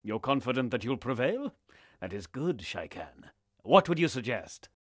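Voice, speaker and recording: A solemn lord of gods for a fantasy game. His delivery is formal and commanding, with measured old-fashioned phrasing and a widely swinging melody. The sound is very dry. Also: mid-range voice, big pitch swings, husky, no echo. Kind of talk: fantasy game cutscene acting.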